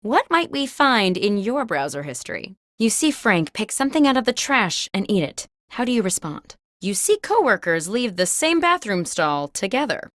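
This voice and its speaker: An office interviewer hosting a video game, with a mid-pitched voice that swings expressively up and down. She delivers scripted workplace prompts with crisp enunciation, recorded very dry with no room sound. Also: sing-song, moderate pace, hardly any pauses, a bit bright, dry recording. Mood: composed, quizzical. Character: office job interviewer posing workplace questions. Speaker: game host reading prompts.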